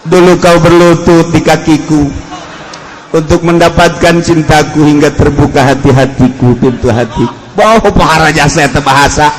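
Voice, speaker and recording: A man's voice: fairly high pitch, level tone, normal speed, a little hiss or hum. A man with a Sundanese accent giving a humorous storytelling sermon as an Islamic preacher, moving between theatrical mock-romantic recitation and colloquial asides. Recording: echoey hall with long reverb.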